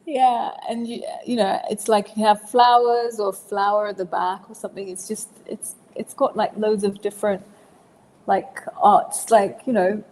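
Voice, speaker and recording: A woman's voice: medium pitch, measured pace, darkish tone, in a large hall, poor audio. A woman in her forties from Zimbabwe, a podcast guest answering questions in a loose, hesitant way with lots of fillers and restarts. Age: 40s. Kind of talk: casual interview answers.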